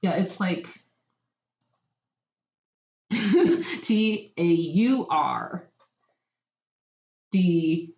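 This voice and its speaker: A woman's voice: on the low side, big pitch swings, drawn-out words, long pauses, darkish tone. A woman co-hosting a podcast, bantering and improvising in a casual, playful way. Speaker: podcast co-host. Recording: echoey room, dull lo-fi audio.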